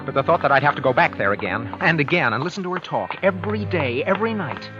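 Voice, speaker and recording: An actor playing a henpecked bank auditor, narrating in a weary, brooding, confessional manner in 1940s radio drama style. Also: male voice, fairly high pitch, animated, quick pace, music in the background, close to an everyday voice. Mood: brooding, grim. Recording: muffled old radio recording.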